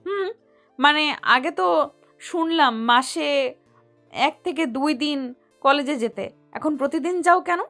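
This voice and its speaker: A woman's voice: high-pitched, slow pace, long pauses, fairly bright tone, faint music behind, heavily acted. A woman playing a stern, teasing young tutor who acts like a bossy older sister in an audio romance story. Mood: curious, teasing.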